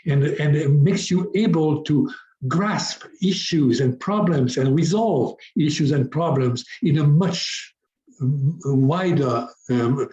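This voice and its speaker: A man's voice: fairly high pitch, slow, mellow, reverberant, dull audio. A middle-aged man from France, a business coach speaking as a guest in an interview, talking hesitantly with many restarts and fillers. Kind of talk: conversational interview.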